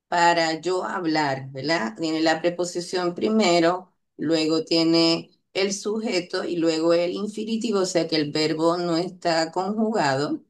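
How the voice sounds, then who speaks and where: A Puerto Rican woman, a linguistics researcher, explaining her findings unscripted, with many hesitant fillers and questioning tags seeking agreement. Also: lowish voice. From Puerto Rico. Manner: hesitant, frequent "eh" fillers and "¿verdad?" tag questions.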